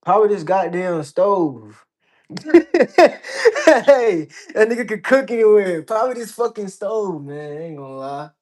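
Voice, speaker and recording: A man's voice: high-pitched, lively intonation, measured pace, a little mellow. A man from Georgia in the United States, chatting casually as an interview guest, blunt and slangy with plenty of swearing. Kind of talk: casual chat.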